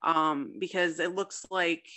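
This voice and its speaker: A woman's voice: on the low side, little pitch movement, takes it slowly, pushed and bright. A young woman chatting casually as a guest writer in an interview, with a self-deprecating, talkative manner. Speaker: guest, fiction writer and contest winner.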